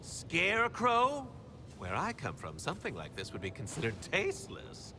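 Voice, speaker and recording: An actor playing a wisecracking, theatrical clown supervillain in a video game, mocking and sardonic, swinging from mutters to shrieks. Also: male voice, a little high, huge pitch swings, moderate pace, noisy background. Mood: mocking, manic. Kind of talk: video game villain acting.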